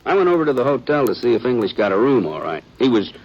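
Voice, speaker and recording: A man's voice: medium pitch, sing-song, quick pace, husky. An actor playing a chatty, folksy small-town lawman in an old-time radio western, with an American accent and a musing way of talking. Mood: wry, explaining. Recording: muffled, noisy vintage radio recording.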